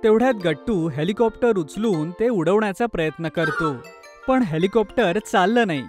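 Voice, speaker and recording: A woman's voice: medium pitch, animated, normal speed, music in the background. A woman reading scripted narration for a children's cartoon story.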